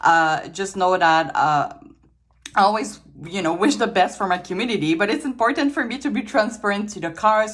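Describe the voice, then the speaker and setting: A woman from Quebec with a Quebec French accent, French as her first language, giving a casual tarot reading at a table, chatty, laughing often and restarting her sentences, a little tired. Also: lowish voice. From Quebec, Canada. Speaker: tarot reader. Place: indoors at a table with trinkets.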